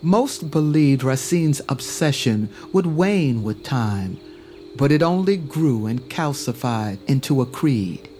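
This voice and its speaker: A man narrating a scripted dramatic story in a measured, literary manner. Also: mid-range voice, expressive, mellow, faint music behind.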